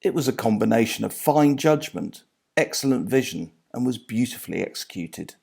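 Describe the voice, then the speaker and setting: A middle-aged or older man reading aloud from a book as its author, measured and phrase by phrase. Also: medium pitch, lively intonation, vocal fry, darkish tone, husky. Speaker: author and sports journalist reading own book.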